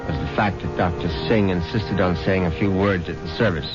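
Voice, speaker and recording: A man's voice: low-pitched, a little fast, close to an everyday voice. An actor playing a scheming husband who narrates his own crime and poisons his rich wife, in 1970s radio drama style. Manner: calm, coaxing, darkly amused. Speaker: narrator and lead character. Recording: dull old radio broadcast with loud music.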